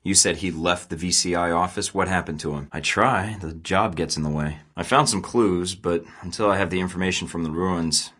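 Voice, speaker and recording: A gruff secret agent in a video game, his voice very low yet bright and forceful at the top. He keeps his lines short and curt, with a dry sarcastic edge. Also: a little fast, hardly any pauses. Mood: steady. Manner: curt, sarcastic, clipped delivery.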